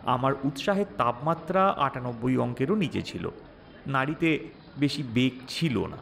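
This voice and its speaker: Guy reading a wry dramatic story, really lively pitch swings, bit of creak, music under it. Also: measured pace, a little hiss or hum. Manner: expressive, wry, theatrical delivery. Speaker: story narrator voicing the protagonist. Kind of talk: dramatized audio story narration in first person.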